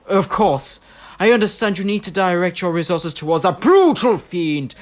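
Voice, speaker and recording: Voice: medium pitch, lively intonation, normal speed, natural voice. An actor playing a pompous local MP and head of a grand family, given to theatrical outrage.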